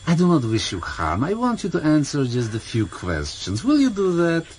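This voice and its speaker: An actor playing a cultured, mockingly courteous older foreign interrogator, formal and deliberate, in a vintage radio drama. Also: male voice, on the high side, huge pitch swings, a little mellow. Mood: menacing, polite. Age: middle-aged or older. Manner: formal, deliberate, mock-polite. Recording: slightly noisy vintage radio recording.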